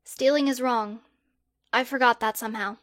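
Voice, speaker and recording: A woman's voice: fairly high pitch, steady tone, normal speed, dry recording, natural voice. A woman voicing a sarcastic, exasperated schoolgirl who gives dry, incredulous retorts.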